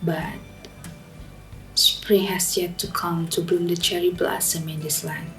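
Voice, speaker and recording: A woman's voice: on the low side, level tone, a bit bright, soft background music, poor audio. A woman playing a melancholic young woman haunted by her past, speaking a brooding, dramatic radio drama monologue. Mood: sorrowful, bitter. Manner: slow, brooding, dramatic delivery.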